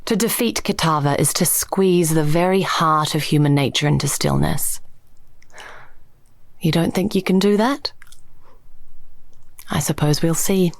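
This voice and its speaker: A woman playing an ominous, challenging teller of dark god lore in a fantasy game, speaking deliberately. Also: deep voice, vocal fry, no room sound.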